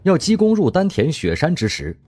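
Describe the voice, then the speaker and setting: A man narrating an audio drama story in an expressive, measured storyteller's style. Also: on the high side. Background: background music.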